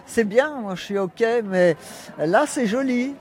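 A man's voice: high voice, expressive, unhurried, a little hiss or hum. A man giving a hesitant, rambling opinion in a street interview. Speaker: interviewed resident. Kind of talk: street vox pop.

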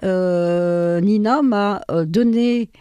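A woman's voice: medium pitch, drawn-out words, warm tone, clear, resonant tone, no echo. An older woman whose first language is Ukrainian, recounting her life as an interview guest, hesitant and full of fillers. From France. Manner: hesitant, many fillers, storytelling. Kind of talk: personal testimony in an interview.

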